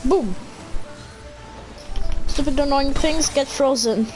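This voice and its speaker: A woman's voice: sits high, slow, a little mellow. A woman giving casual, playful gameplay commentary as a streamer, chatty and slangy. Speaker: video game streamer. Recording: game music behind the voice.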